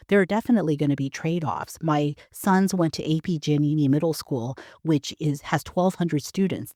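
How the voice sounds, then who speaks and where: A woman from the Bay Area with an American accent, explaining things in a measured, articulate way as a parent guest on a podcast. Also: low voice, a little mellow, no echo, clean studio sound. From San Francisco Bay Area. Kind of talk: explanatory interview talk.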